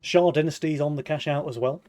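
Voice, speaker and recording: A man's voice: a little high, a little fast, a little mellow, soft background music. Upbeat young male esports caster. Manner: upbeat, laughs often, runs the broadcast. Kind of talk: live esports commentary.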